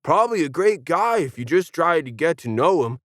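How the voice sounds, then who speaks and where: Man acting a friendly hippie dude, laid-back, slangy, raised pitch, lively intonation, sci-fi story. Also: moderate pace, no room sound, studio quality. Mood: easygoing, puzzled. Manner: easygoing, slangy. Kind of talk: audio science fiction story character.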